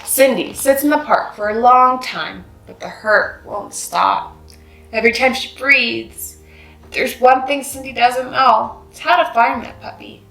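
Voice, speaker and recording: A woman's voice: fairly high pitch, a little slow, creaky, fairly bright tone, soft background music. A woman reading a children's picture book aloud, narrating expressively and acting out the dialogue. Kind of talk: scripted read-aloud narration with character dialogue. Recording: roomy, echoing sound.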